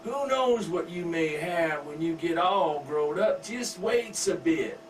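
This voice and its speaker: Man doing a coarse rich country husband, loud drawl, somewhat high, from far off the mic. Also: expressive, takes it slowly. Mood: coaxing. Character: rich middle-aged country husband, crude and indulgent. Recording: distant mic with faint hum.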